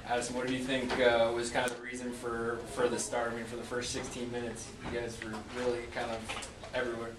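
A man asking informal questions as a sports reporter at a press conference, haltingly rephrasing as he goes. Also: medium pitch, completely flat delivery, far from the mic. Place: indoor press conference room. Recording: echoey, noisy room, off mic.

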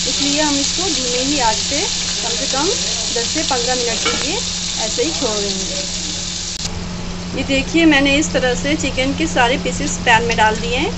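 Heavy background noise surrounds a woman. She narrates a recipe as a home cook, addressing viewers directly. Her delivery is instructional, moving step by step through the cooking. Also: high-pitched. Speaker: home cook and channel host. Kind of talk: recipe tutorial narration over hands-on cooking. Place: home kitchen, indoors. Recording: very noisy homemade phone recording, household hum.

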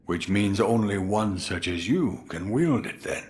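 A brooding old man counsels in a video game. He is slow and deliberate, his low voice rising and falling expressively as he probes and questions. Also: lively intonation, darkish tone. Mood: brooding, probing. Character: old man, brooding, probing mentor to a warrior.

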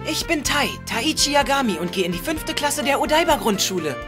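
Cartoon audio drama hero: confident schoolboy, assertive, high-pitched. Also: female voice, expressive, brisk, over music. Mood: friendly. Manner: assertive, direct. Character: bold confident fifth-grade boy, leader of friends.

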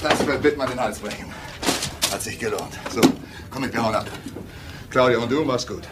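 A man's voice: mid-range voice, normal speed, heavy background noise, natural voice. An actor playing a pushy, suspicious, tough man in a crime radio drama. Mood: pressing.

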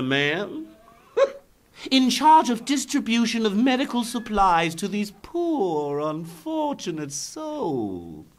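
Comic-book clown villain, mocking blackmailer, cackling, unhurried drawl, very animated pitch. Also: male voice, heavily acted. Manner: taunting, drawling, breaking into cackles. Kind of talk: motion comic villain acting.

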